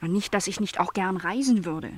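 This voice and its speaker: An actress playing a lonely twenty-year-old housemaid in a radio play, wistful and stubborn, speaking earnestly and insistently. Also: female voice, medium pitch, moderate pace, husky, no room sound, close to an everyday voice. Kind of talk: literary radio play dialogue.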